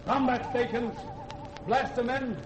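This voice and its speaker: A barking male bosun sounds high and echoey on a hissy old radio recording. His delivery is clipped, dutiful and military, in 1950s drama style. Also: steady tone, a little slow. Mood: alert, clipped.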